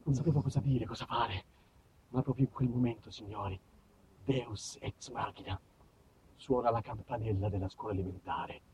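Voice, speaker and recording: A man telling a comic stage monologue in an emphatic, dramatic manner, moving between hushed and loud delivery. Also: medium pitch, normal speed, a little hiss or hum, rough recording. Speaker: solo storyteller and actor. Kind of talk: theatrical monologue, comic storytelling.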